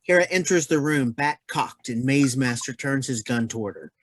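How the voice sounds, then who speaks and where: A man's voice: medium pitch, moderate pace, no room sound. A man reading stage directions as scripted narration for a play.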